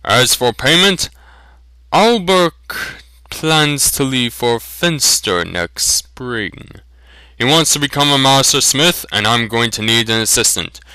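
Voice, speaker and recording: A gruff but good-humoured village blacksmith acted by a man reading a fantasy audiobook. He speaks slowly and heartily, in a bright, forceful voice with a close, dry sound. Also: mid-range voice, lively intonation, no echo, pitched above the performer's own voice. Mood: generous.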